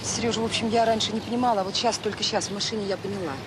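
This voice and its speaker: An actress playing a devoted, earnest director of a children's home, speaking in short emphatic phrases in a naturalistic radio drama. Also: female voice, fairly even intonation, very fast, lightly acted. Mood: urgent, hesitant. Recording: roomy sound with faint noise and rumble.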